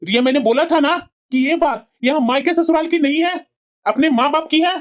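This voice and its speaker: A woman plays a young cartoon husband who lays down the law to his wife and her family. The voice is fairly high for him, firm and lecturing, and the recording is dull and lo-fi. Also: fairly quick, close to an everyday voice. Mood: stern. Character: earnest young husband and dutiful son. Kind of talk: cartoon voice acting.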